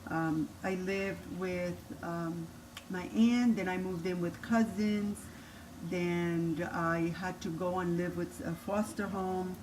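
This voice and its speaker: A woman's voice: lowish voice, slow pace, rough recording. An older grandmother from Cuba with a Cuban Spanish accent, whose first language is Spanish. She gives a homey cooking lesson in her kitchen and tells her life story, with many restarts. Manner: homey and instructive, with frequent restarts. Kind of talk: cooking demonstration and personal storytelling. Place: home kitchen. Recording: steady background noise.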